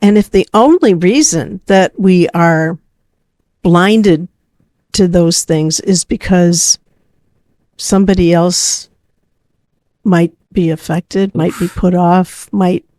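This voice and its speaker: A woman co-hosting a podcast in a reflective, conversational style, building her points deliberately in repeated phrases. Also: lowish voice, warm tone, no room sound. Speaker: podcast co-host.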